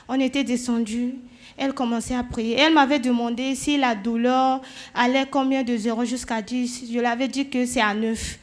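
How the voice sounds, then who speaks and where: High voiced west african french woman, soft steady church testimony. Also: normal speed, background noise. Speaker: church member giving testimony.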